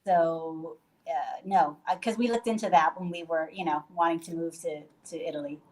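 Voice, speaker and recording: A woman's voice: low voice, steady tone, normal speed, darkish tone, rough recording. A woman from Puerto Rico talking casually on a video call from a guesthouse bedroom, loose and chatty with many fillers and restarts. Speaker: guest, hostel owner.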